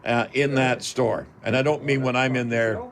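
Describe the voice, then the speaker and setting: Canadian guy hosting a radio call-in show, folksy and rambling, lots of halting bits, mid pitched. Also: steady tone, crowd noise. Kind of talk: casual live radio chat.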